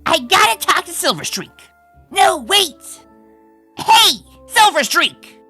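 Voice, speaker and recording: A woman's voice: sits high, very animated, measured pace, bright, forceful tone, breathy edge, soft background music, exaggerated voice. A woman voicing a brash, loyal, fiery female pony in a comic dub. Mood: indignant. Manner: brash, emphatic. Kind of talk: comic dub character acting.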